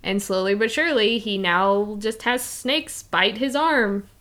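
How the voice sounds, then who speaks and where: A woman's voice: medium pitch, a little slow, a bit bright. A woman co-hosting a podcast in casual chat, joking and giggly. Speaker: podcast co-host.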